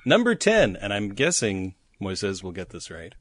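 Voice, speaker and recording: A man's voice: on the low side, wildly swooping pitch, measured pace, no room sound, studio quality. A man hosting a podcast, reading out trivia items with a wry, teasing delivery in playful chat. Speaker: podcast host presenting trivia.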